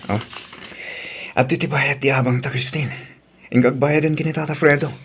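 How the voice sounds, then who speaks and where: A man acting as a devoted, defensive young boyfriend with a creaky voice. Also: medium pitch, fairly even intonation, normal speed, music in the background, close to an everyday voice. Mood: casual. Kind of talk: Ilocano audio drama acting.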